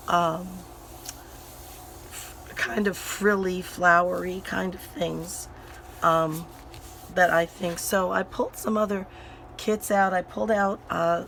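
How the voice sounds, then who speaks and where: A woman hosting a crafting channel and chatting casually while she works. Her voice is hoarse and still recovering, and she rambles with many fillers and restarts. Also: fairly low pitch, a bit bright, slight background noise, low-quality recording. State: hoarse, voice recovering from sinus infection.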